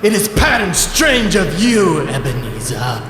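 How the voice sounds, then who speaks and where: A man acting in a radio play as a mournful, menacing ghost, solemn and declamatory, with drawn-out words and a ghostly reverb. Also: high voice, very animated, a bit bright, faint music behind, exaggerated voice. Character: mournful, menacing male ghost of dead business partner. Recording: echoey with long reverb.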